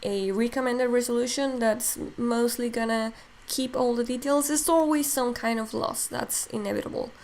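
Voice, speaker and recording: A woman's voice: on the high side, steady tone, normal speed, few pauses, a little mellow, a little hiss or hum. A woman from Mexico, a Spanish speaker talking in English, casually thinking aloud as she demos digital sculpting on a live stream. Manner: thinks aloud while working, many fillers.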